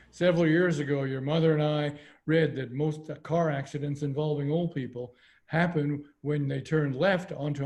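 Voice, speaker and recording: A man's voice: on the high side, a little mellow. A man reading the part of a cheerfully stubborn elderly father in his nineties, in a folksy, patient way over a video call.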